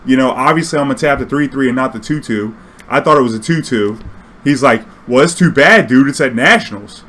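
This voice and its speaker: A man casually telling an anecdote in a slangy, swearing, animated way, acting out bits of dialogue. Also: mid-range voice, brisk. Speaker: storyteller recounting his own tournament games.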